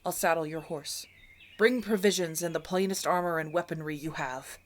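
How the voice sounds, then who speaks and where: Woman acting a quietly frank, loyal attendant. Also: low voice, moderate pace, natural voice. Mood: resigned. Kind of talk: scripted fantasy audio roleplay.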